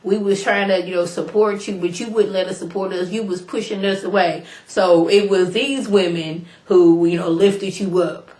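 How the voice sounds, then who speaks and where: A woman casually recapping a reality show episode for her viewers in a chatty, slangy way, a little hoarse from a cold. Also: on the low side, moderate pace, hardly any pauses. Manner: chatty, animated, slangy, addresses viewers directly. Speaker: YouTube reality TV reviewer. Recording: echoey room.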